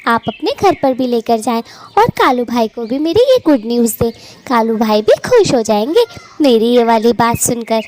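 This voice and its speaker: A woman's voice: high-pitched, a little hiss or hum. A woman voicing a patient young wife in a cartoon, pleading and eager to please. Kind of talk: Hindi cartoon voice acting.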